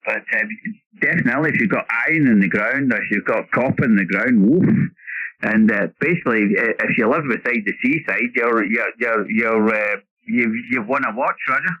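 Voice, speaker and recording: A man talking over a radio transmission, chatting casually in a halting, repetitive way as a ham operator. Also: mid-range voice. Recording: dull band-limited ham radio signal.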